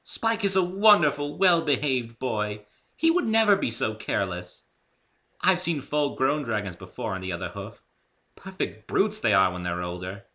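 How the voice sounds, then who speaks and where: A man voicing a refined, gossipy female unicorn fashion designer in a story reading, posh and dramatic with drawn-out emphasis. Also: medium pitch, sing-song, pushed and bright, voice pushed up. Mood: gracious.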